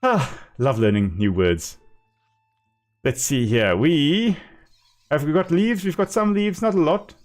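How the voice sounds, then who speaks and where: A man's voice: fairly high pitch, expressive, takes it slowly, long pauses. A man with a South African accent, a native Afrikaans speaker, chatting casually and explaining as he plays a game on a live stream.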